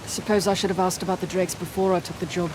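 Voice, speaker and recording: A woman's voice: fairly low pitch, little pitch movement, fast, close to an everyday voice. Woman playing a blunt mercenary, noisy background. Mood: cool, guarded. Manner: terse, direct, dry. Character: tough, guarded, blunt female mercenary leader. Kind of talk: naturalistic video game cutscene acting.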